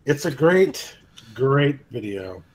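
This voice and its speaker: A man's voice: mid-range voice, very animated, takes it slowly, a little hiss or hum, rough recording. A man talking casually in a group chat with friends.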